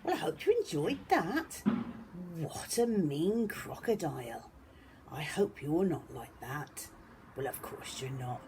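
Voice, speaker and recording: A woman reads a picture book for young children in a slow, lowish, mellow voice. Her delivery is expressive and dramatic, often sinking to a hush. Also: slight background noise. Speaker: children's storyteller.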